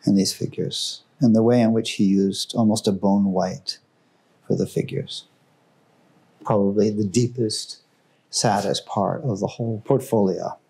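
A man giving an informal, enthusiastic talk about artworks in a museum room, growing hushed and solemn at the tragic pieces. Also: fairly low pitch, fairly even intonation, measured pace, low-quality recording. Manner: enthusiastic, conversational, drops his voice for solemn works. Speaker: museum guide presenting artworks. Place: museum gallery room, indoors.

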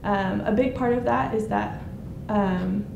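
A young woman giving a casual conference talk, pausing often with ums. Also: medium pitch, creaky, lo-fi sound. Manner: hesitant, many ums. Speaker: conference speaker. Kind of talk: informal presentation. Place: conference room with projector screen. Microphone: handheld mic. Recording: echoey room, noisy.

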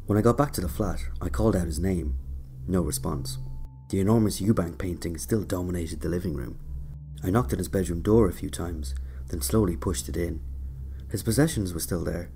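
A man's voice: low voice, moderate pace, creaky, warm tone. A man narrating a scripted first-person story in an audio drama. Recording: music under the voice. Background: music.

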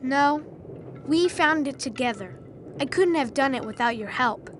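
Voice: sits high, animated, a little slow, a bit bright, a little hiss or hum. An actor playing a brave, reassuring young boy in a video game, speaking in an earnest, encouraging way. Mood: determined. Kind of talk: video game cutscene acting.

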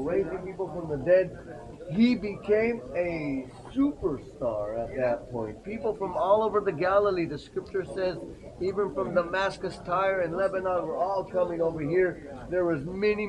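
A man guiding a tour group through ruins outdoors, telling the story in a lively way and asking the group questions. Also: high voice, poor audio. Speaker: tour guide. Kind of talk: guided site tour explanation. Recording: outdoor crowd chatter behind.

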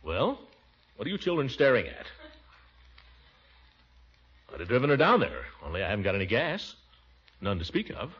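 A man's voice: fairly low pitch, wildly swooping pitch, moderate pace, long pauses, fairly bright tone, breathy edge, heavily acted. An actor playing a fussy, blustering middle-aged American family man in a 1940s radio comedy. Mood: flustered, grumpy. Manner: blustering, chuckling, exasperated. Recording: dull, faintly noisy 1940s radio recording.

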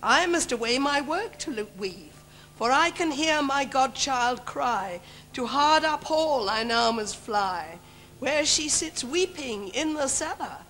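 A woman playing a kindly, forgetful fairy godmother, declaiming rhyming verse deliberately on a pantomime stage. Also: high-pitched, expressive, a bit bright, slight background noise, exaggerated voice, poor audio. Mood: grand, soothing. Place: large theatre stage, indoors.

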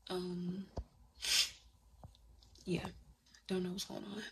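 A woman's voice: fairly low pitch, little pitch movement, drawn-out words, echoey room, background noise. A woman in her twenties chatting casually to a phone camera at home, rambling with many fillers. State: stuffy nose. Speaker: vlogger. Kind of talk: casual vlog chat. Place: bedroom at home. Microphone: phone camera at arm's length.

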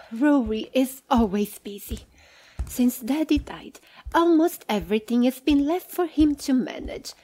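A woman playing a fretful, self-satisfied hobbit in an audio drama, with a measured, fussy manner. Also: sits high, expressive, dark tone, rough recording. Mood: sighing, self-satisfied.

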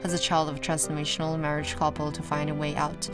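Woman reading voice-over, low voice. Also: level tone, fast talker. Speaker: English dubbing voice for a documentary report. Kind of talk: scripted voice-over translation. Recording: voice-over with loud background music.

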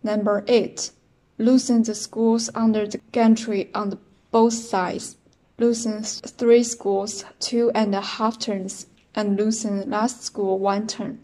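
A woman's voice: mid-range voice, flat delivery, slow, mellow, rough recording. A young woman with a Chinese accent, reading numbered machine setup steps in a plain, methodical way. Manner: plain, methodical step counting. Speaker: product demonstrator. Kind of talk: step-by-step instructional voice-over.

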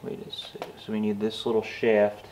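A man talks casually while he works, thinking out loud. The sound is very roomy and picked up from a distance, with clear background noise of clanks and clicks. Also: fairly low pitch, completely flat delivery, normal speed. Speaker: garage host. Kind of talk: casual chat while working. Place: garage workshop.

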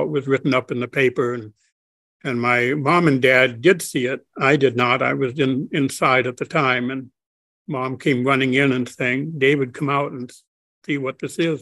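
An older man speaking slowly and haltingly, with many pauses and restarts, as an interview guest on a video call from his home study. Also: medium pitch, a bit bright, no echo. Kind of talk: reflective conversation.

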